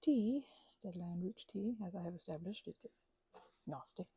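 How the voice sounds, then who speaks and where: A woman rambling playfully in casual ASMR craft chat, scatterbrained and often interrupting herself, in a husky, breathy voice that often drops toward a whisper. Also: fairly low pitch, expressive, moderate pace, warm tone, no room sound. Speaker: ASMR creator.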